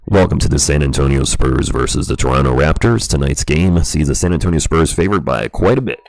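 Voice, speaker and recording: A man putting on a showy, deliberate male FM radio DJ voice for a sports broadcast intro. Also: extremely low pitch, creaky, darkish tone, no room sound, pitched below the performer's own voice. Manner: deliberate, showy announcer delivery. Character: smooth, low-voiced male FM radio DJ announcer.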